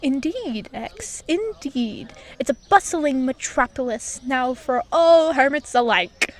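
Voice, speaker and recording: A woman's voice: high-pitched, animated, slow. Woman as a proud, genial, lilting mayor. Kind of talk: audiobook character acting.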